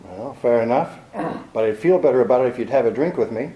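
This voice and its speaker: An actor playing a cool, hardboiled private detective in an old-time radio drama, with a dry, laconic, sardonic manner. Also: male voice, medium pitch, animated, brisk, echoey room, muffled recording, close to an everyday voice. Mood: wry. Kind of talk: 1940s radio drama acting.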